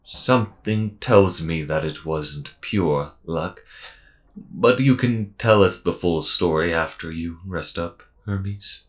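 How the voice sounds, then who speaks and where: A man playing a friendly, calm robot mechanic with dry humour and a measured, gently wry delivery in an audio roleplay. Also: deep voice. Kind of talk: slow intimate audio roleplay acting.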